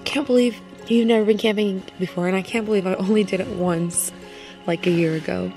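A woman's voice: mid-range voice, steady tone, fairly quick, mellow. A young woman talks casually into a handheld camera while camping outdoors. She is chatty and laughs easily. Faint music plays underneath. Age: young adult. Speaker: vlogger. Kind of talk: casual vlog chat.

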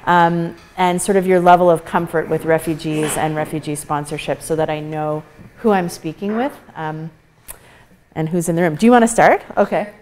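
A woman in her thirties, a guest speaker in a meeting room, talking loudly without a microphone and laughing often. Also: low voice, moderate pace, a little hiss or hum. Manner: loud, projecting without a mic, laughs often. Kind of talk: casual talk to a small audience. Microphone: far camera, no microphone.

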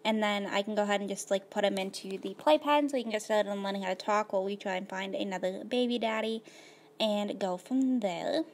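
A woman of middle pitch talks her way through a life-simulation game in an easygoing, rambling manner, full of "um" and "like". Her voice is a little hoarse, as if she is losing it. She sounds close to a desktop mic. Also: a little fast. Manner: rambling, filler-heavy, laid-back. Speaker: gaming video host. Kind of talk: casual gameplay commentary.

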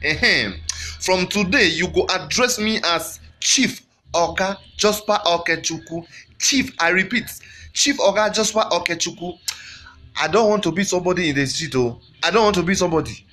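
A man's voice: high voice, moderate pace, sharp tone. A man playing a pompous Nigerian chief. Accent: Nigerian put on. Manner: pompous, declaiming. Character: pompous self-styled Nigerian chief, male, boastful. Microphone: phone selfie camera close up.

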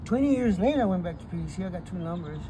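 A man's voice: high voice, racing. A middle-aged man telling his story in a casual street interview, recorded outdoors beside a chain-link fence with a phone camera. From Texas. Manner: animated storytelling with hand gestures. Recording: outdoor street noise with passing cars. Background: traffic and street ambience.

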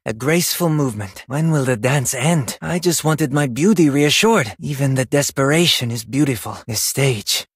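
A vain Spanish ballet dancer from a video game. His voice is husky and swings widely in pitch, with a Spanish accent and a theatrical, self-admiring flourish. The sound is dry and close. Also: animated, moderate pace, few pauses, dry recording. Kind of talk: video game character voice lines.

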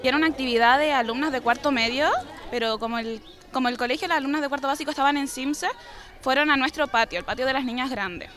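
A teenage student explaining things hesitantly in a street interview on a handheld mic. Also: female voice, high-pitched, fast, fairly bright tone, some chatter behind. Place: outdoors on a city street. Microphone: handheld reporter mic.

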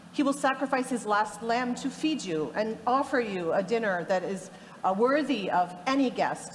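A woman in her forties from Egypt, speaking as a panelist in an explanatory way and tagging her points with "right?". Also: fairly high pitch. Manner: explanatory, gestures a lot, tags points with "right?". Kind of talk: panel discussion talk. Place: indoor conference panel stage. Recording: long hall reverb, faint noise.

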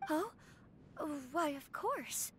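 High-voiced demure young noblewoman. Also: sing-song, extremely slow, fairly bright tone, a little hiss or hum, full character voice. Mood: polite, impressed. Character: polite, gentle young noblewoman, demure and attentive. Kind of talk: video game voice acting.

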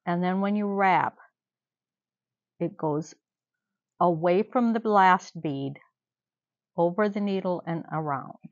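A woman's voice: fairly low pitch, no room sound, dull audio. A woman joining a stitching lesson, checking the steps and summing them up for viewers. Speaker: co-host asking clarifying questions. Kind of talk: craft lesson commentary.

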